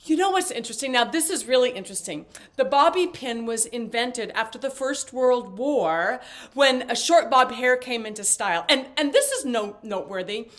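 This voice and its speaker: A woman's voice: a little high, expressive, moderate pace, lightly acted. An actress playing a talkative, flighty, intrusive older mother in a stage play, chirpy and theatrical as she rambles. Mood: rambling, eager.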